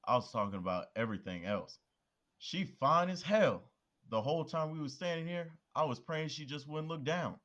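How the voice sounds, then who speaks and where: A man's voice: a little high, expressive, moderate pace, fairly bright tone, dull audio. A man playing a cheeky, slangy best friend. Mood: joking. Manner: loose slangy banter, teasing. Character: cheeky, food-loving young male best friend. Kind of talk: amateur audio drama acting.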